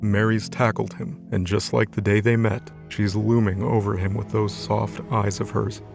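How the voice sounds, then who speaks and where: A man's voice: lowish voice, level tone, moderate pace, gravelly, over music. A man narrating an audio drama in a scripted, dramatic storytelling style.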